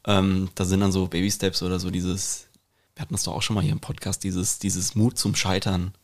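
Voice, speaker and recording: A man's voice: low-pitched, level tone, brisk. A man talking in a casual podcast chat, reflective and informal, with English slang mixed in. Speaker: podcast host and painter.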